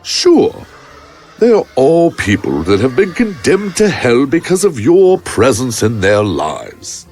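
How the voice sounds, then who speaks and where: A man playing a mock-polite, sinister infernal waiter in a horror audio drama, husky and savouring each phrase. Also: medium pitch, huge pitch swings, slow pace, a little mellow, faint music behind, full character voice. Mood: gloating politeness. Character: smugly courteous infernal waiter, mocking and sinister.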